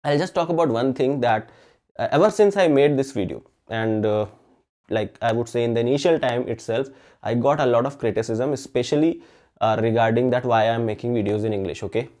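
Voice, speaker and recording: A man's voice: mid-range voice, a little fast, a little mellow. A young man whose first language is Hindi, talking earnestly to camera as a chemistry channel host and often checking in with "okay?". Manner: earnest, frequent "okay?" tags and fillers. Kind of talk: casual talk to camera. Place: indoor room with balloons. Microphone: facing camera at mid framing.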